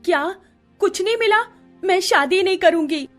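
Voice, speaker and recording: A woman voices a young bride in an animated tale. She is stubborn and highly emotional, pitched high and pushed, with faint music beneath. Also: moderate pace. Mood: demanding, upset. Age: young adult.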